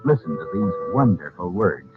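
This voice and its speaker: A man's voice: deep voice, sing-song, normal speed, background noise. A man working as a 1940s radio announcer, reading scripted copy with polished, theatrical salesmanship. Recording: tinny old radio broadcast with music. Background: music.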